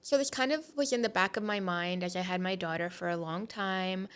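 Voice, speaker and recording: A woman's voice: lowish voice, fairly quick, clean tone. A woman in her thirties from Portugal, a podcast guest candidly telling her faith story with a lot of "um" and "like". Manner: candid and reflective, with frequent "um" and "like". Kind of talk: personal testimony in conversation.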